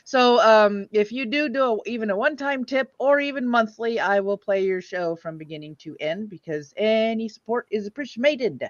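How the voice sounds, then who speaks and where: A woman's voice: fairly high pitch, animated, moderate pace, few pauses, no room sound, dull audio. A woman hosting an online old-time radio stream, chatting casually and jokingly with her audience and panel. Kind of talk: casual livestream chat.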